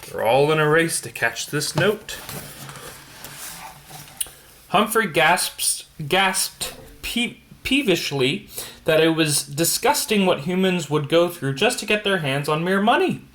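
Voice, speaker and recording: A man's voice: a little high, pushed and bright, a little hiss or hum. A man reading a children's picture book aloud, stumbling now and then and adding casual comments on the pictures. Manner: stumbles over words and explains the pictures as he goes. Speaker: bedtime story reader.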